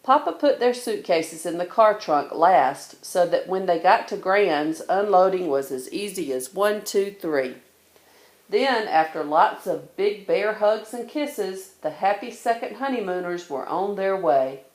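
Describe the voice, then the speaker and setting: A woman's voice: mid-range voice, animated, a little slow. Echoey woman reading a bedtime story. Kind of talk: expressive children's storybook narration.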